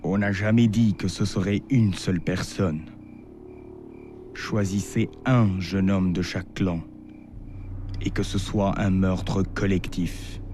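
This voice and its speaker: A scheming clan chief in an animated historical drama. His voice is deep and measured, with a commanding chill, over a busy soundtrack. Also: a little hiss or hum. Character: cold, scheming male clan leader plotting against an enemy.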